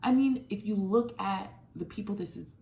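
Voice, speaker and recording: Chatty young woman with a mellow voice. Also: medium pitch, gravelly. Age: 20s. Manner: chatty and emphatic, full of "like", swings from fast to drawn out. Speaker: movie commentator. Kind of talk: casual movie commentary.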